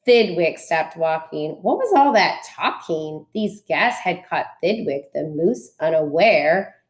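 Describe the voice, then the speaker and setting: A woman's voice: low voice, animated, slow. A woman reading a children's storybook aloud expressively, like a schoolteacher.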